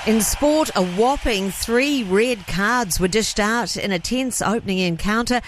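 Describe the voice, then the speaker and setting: A woman with a New Zealand accent reading a radio news bulletin in a measured, even way. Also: medium pitch, slight background noise. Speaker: newsreader.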